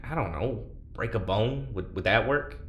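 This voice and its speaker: An actor playing an anxious, sardonic, resigned prisoner test subject in an audio drama, speaking in a casual, hesitant way. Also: male voice, on the low side, lively intonation, normal speed, a bit bright, lo-fi sound, slight background noise. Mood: bitterly wry. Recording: roomy, faint background ambience.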